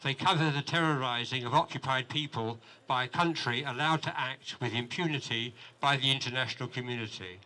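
Man in his seventies, mid-pitched, bright forceful tone, reading a statement, outdoor rally, handheld microphone. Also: fairly quick, few pauses, low-quality recording. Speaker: campaign group officer reading a letter. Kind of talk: prepared statement read aloud at a rally. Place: outdoors in a busy city street. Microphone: handheld microphone held close to the mouth.

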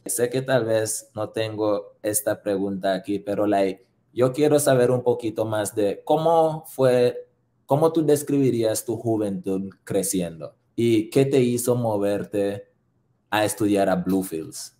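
Young man hosting a relaxed interview. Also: on the low side, measured pace. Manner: relaxed questioning, mixes in English fillers. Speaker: host, interviewer. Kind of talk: casual video-call interview. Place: room indoors. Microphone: webcam.

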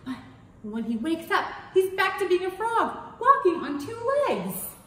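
A woman in her thirties, a children's music teacher, singing and explaining songs in an animated, sing-song way. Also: very high voice, unhurried. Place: studio in front of green screen. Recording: very echoey room, faint hiss.

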